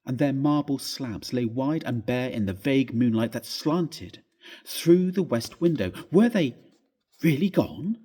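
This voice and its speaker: A man giving a dramatic, expressive reading of a Gothic ghost story, with deliberate phrasing and a husky voice. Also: mid-range voice.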